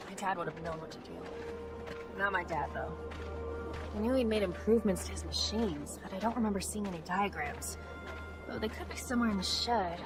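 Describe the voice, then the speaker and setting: A woman's voice: medium pitch, a little fast, over music, natural voice. A woman playing a wry, salty-tongued rover mechanic in a game cutscene, talking casually. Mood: casual, doubtful. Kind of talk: video game cutscene acting.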